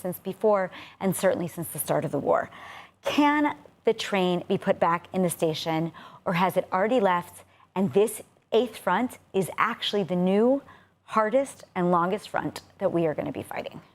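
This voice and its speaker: An American woman hosting a TV news interview in a studio, putting her questions clearly and closing with a polished sign-off. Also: lowish voice. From United States.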